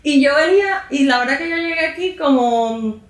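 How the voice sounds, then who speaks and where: High-voiced Cuban woman, roomy and distant. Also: expressive, moderate pace. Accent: Cuban Spanish. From Cuba. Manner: candid, personal storytelling. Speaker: guest, emigrant mother. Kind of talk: casual conversation.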